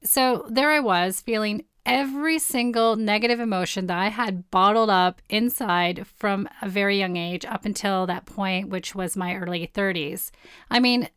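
A woman in her forties with a hoarse, partly lost voice, hosting a personal storytelling podcast in a candid, blunt way. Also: mid-range voice, no room sound, clean studio sound. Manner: candid, blunt, swears casually. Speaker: podcast host.

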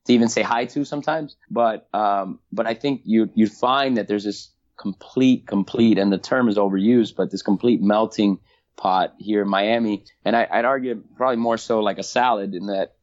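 Hesitant low-voiced man, muffled audio. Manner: halting, many repeats and fillers. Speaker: race organizer interviewed. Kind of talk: casual interview answers. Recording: dull lo-fi audio.

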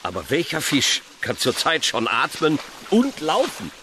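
A man's voice: fairly high pitch, huge pitch swings, measured pace, pushed and bright, a little hiss or hum, full character voice. A man playing a grumpy, sarcastic employee who is weary of his colleague, in a comedic radio play. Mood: wry, surprised. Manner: dry sarcasm, grumbling.

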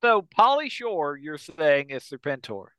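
Man, podcast host, slightly high crisp voice, emphatic repetition, casual comedy banter, very dry recording. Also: sing-song, measured pace, sharp tone, no echo. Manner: emphatic, repeats phrases for emphasis. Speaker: podcast host leading the segment.

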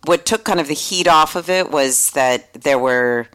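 A woman's voice: deep voice, measured pace, a bit bright. A woman from the United States, chatting as a podcast guest, talking in a loose way full of "like" and acted-out quotes. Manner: chatty, many "like" asides, acts out quotes. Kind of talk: casual conversation.

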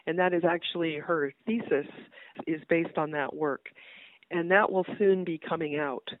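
A woman's voice: deep voice, steady tone, dry recording. Woman with a cold on a phone line. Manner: brisk and practical, with many "uh" and "kind of". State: has a cold. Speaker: guest, university entomologist. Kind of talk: casual interview, explaining field research. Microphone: phone call. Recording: dry, tinny phone line.

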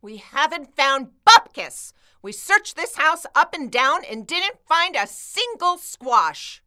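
Woman doing a bossy short-tempered little girl, radio comedy, high sharp voice, scolds everybody. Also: big pitch swings, slow, heavily acted. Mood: irritated. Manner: scolding, brash, forceful. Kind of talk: comic radio play acting.

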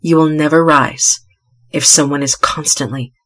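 A woman's voice: low-pitched, fairly even intonation, normal speed. A middle-aged woman hosting a motivational podcast, tired and a little stuffy, who talks emphatically and repeats key phrases. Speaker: podcast host. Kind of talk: motivational solo podcast talk.

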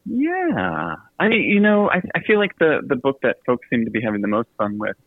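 An American man from Virginia, a guest author telling stories casually in an interview, chatty, halting and self-deprecating. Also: medium pitch, huge pitch swings. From Virginia, United States. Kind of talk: casual storytelling in a radio interview. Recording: tinny phone-like line with some room echo.

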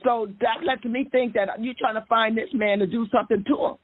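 A woman's voice: a little high, fairly even intonation, fairly quick, dry recording. A woman hosting a psychic call-in radio show in a folksy, sing-song way, repeating her phrases. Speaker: radio psychic host taking callers. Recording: tinny phone-like radio feed, music behind.

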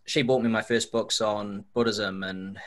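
Low-voiced New Zealand man, easygoing. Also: fairly even intonation, a little slow, fairly bright tone. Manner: easygoing, reflective, some repeated words. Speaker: guest, nutrition researcher. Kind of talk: casual interview chat.

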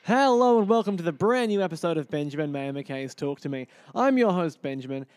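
A man's voice: on the high side, animated, a little fast, a little mellow, a little hiss or hum. A man with an Australian accent hosting a podcast and interview in an enthusiastic, presenterly way. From Adelaide, Australia. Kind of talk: upbeat podcast introduction and casual interview.

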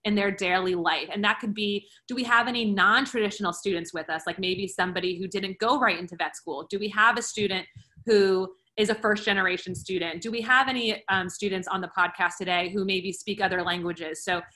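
A woman's voice: medium pitch, fairly even intonation, brisk, sharp tone. A woman hosting a podcast panel in a conversational style, putting questions to her guests. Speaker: podcast host moderating a student panel.

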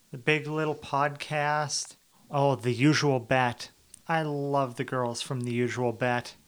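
A man's voice: fairly high pitch, a bit bright, clean tone. A man hosting a casual podcast chat, joking and self-deprecating. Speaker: podcast host.

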